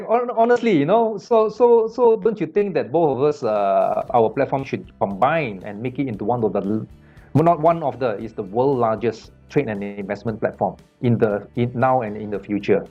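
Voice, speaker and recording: Halting, repetitive Malaysian businessman. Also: mid-range voice, lively intonation, mellow, highs cut off, faint music behind. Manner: halting, many repeated words and fillers. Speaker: business panel guest. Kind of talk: casual panel conversation.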